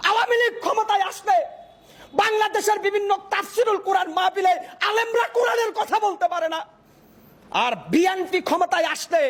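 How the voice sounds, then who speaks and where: A Bangladeshi man delivering a fiery political speech in an impassioned, declamatory manner, often shouting and using repetitive rhetorical phrasing. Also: very high-pitched. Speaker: political speaker, self-described hawker.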